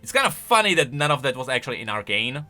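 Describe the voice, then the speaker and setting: A man's voice: medium pitch, sing-song, fast, sharp tone, soft background music. A man giving casual video commentary on game lore as a YouTuber, rambling along and stretching words for emphasis.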